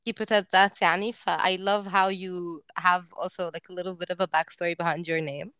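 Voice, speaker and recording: A woman's voice: on the low side, steady tone, a little fast, fairly bright tone, clean tone, dry recording. A woman interviewing a guest, asking friendly questions full of 'like' and fillers. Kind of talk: casual interview talk.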